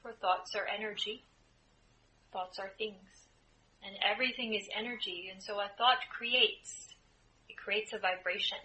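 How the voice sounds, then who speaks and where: Slow, bright-voiced woman guiding meditation. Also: mid-range voice, steady tone, low-quality recording. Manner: measured, deliberate phrasing with frequent pauses. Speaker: spiritual channeler leading group meditation. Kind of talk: slow guided meditation, speaking as channeled spirit. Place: indoor room, live group setting. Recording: live group room, clear background noise.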